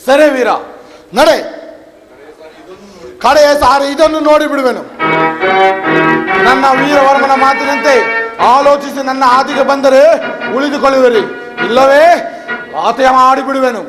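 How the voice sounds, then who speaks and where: Very high male voice, threatening stage villain, theatrical and imperious, reverberant hall, music behind. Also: steady tone, unhurried, long pauses. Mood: impatient threat. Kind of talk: declamatory mythological stage drama.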